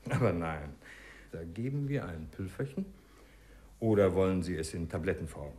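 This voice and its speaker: An actor playing a brisk, authoritative and slightly sly doctor in a 1950s German radio play, speaking with clinical precision. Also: male voice, low voice, expressive, warm tone. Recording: 1950s studio radio recording.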